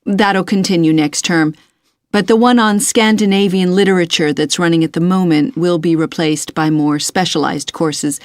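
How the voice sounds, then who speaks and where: A woman playing a courteous, methodical university tutor in a scripted listening-test dialogue, measured and encouraging in her advice. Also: lowish voice, steady tone, no echo, studio quality, close to an everyday voice. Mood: courteous, advisory.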